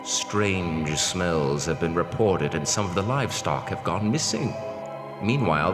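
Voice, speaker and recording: A man playing an ominous, theatrical horror show host, narrating a scripted introduction with drawn-out words and dramatic pauses. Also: deep voice. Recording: echoey long reverb over music. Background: music.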